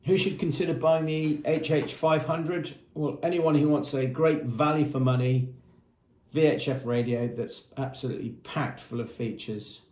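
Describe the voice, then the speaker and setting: Guy in his 50s doing a product walkthrough, soft dark tone, roomy echo, matter of fact. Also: fairly high pitch, steady tone, normal speed. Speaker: product presenter for a marine shop. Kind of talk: product demonstration, explanatory. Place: small indoor room with painted block walls. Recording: echoey small room.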